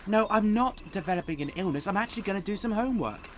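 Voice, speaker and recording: A man's voice: sits high, fast talker. A man playing a cheeky, flippant teenage schoolboy in an audio drama. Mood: mock-defensive. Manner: flippant, lively.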